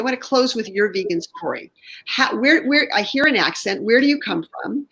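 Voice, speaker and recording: A woman's voice: fairly high pitch, fairly bright tone, slightly rough, low-quality recording. A woman hosting an online interview show, chatty and enthusiastic, restarting her sentences often. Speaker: show host.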